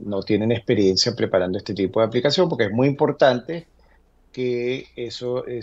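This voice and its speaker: Halting man advising, slightly dull sound. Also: medium pitch, darkish tone. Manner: hesitant, halting explanations, tags statements with "verdad". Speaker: immigration lawyer answering viewer questions. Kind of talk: conversational legal advice.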